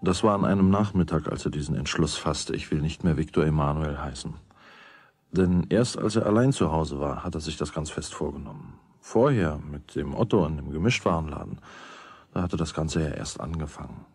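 A wry storyteller narrates a children's radio play. The voice is very deep and rough-edged, conversational and musing, in a very dry recording. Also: male voice, expressive, normal speed, a little mellow, no room sound, exaggerated voice.

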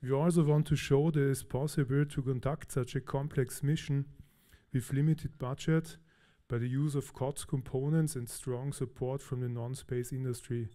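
Mellow-voiced Austrian-born man presenting. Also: medium pitch, moderate pace. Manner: relaxed, hesitant with fillers. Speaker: conference speaker. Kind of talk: technical conference talk. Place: large conference hall.

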